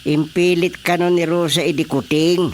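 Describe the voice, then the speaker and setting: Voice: medium pitch, steady tone, normal speed, darkish tone, close to an everyday voice. An actor playing a gossipy, amused grown child in a comedy radio drama. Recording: faint background noise.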